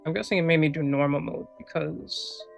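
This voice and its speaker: A woman giving casual, low-key commentary while streaming a video game. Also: a little fast, vocal fry, darkish tone, faint music behind.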